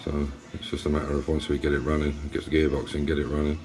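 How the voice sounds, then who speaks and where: A man's voice: a bass voice, level tone, fast talker, echoey room. An English man hosting a casual car restoration vlog, rambling through his workshop with restarts and fillers. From England. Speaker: classic car restorer and channel host. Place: garage workshop.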